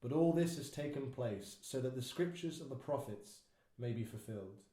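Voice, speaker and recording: A man voicing Jesus as a calm, grave teacher in a dramatised scripture reading, speaking in a measured, solemn way. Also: mid-range voice, lightly acted. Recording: echoey room.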